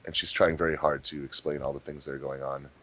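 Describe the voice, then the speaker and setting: A man's voice: extremely low pitch, level tone, quick pace, a bit bright, a little hiss or hum. A man giving casual, enthusiastic group commentary on a fan dub he worked on. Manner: enthusiastic, rambling fan talk. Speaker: fandub director on a commentary track.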